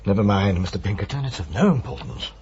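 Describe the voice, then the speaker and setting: An actor playing a polite police inspector in a radio drama, asking questions patiently with a husky voice. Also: male voice, fairly low pitch, animated, fast, darkish tone, slight background noise, lightly acted. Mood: patient, firm. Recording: dull, muffled radio recording.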